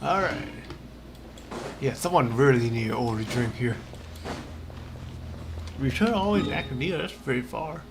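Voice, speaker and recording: A man's voice: medium pitch, animated, normal speed, pauses often, background noise. A man livestreaming a game, giving casual, offhand commentary as he plays. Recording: background music behind the voice.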